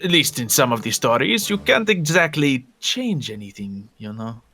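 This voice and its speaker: A man's voice: mid-range voice, very animated, moderate pace, bright, forceful tone. A man playing a pedantic, lecturing wizard's mentor in an improvised video game roleplay. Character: pedantic adult male know-it-all, mentor to young wizard. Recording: background music under the voice.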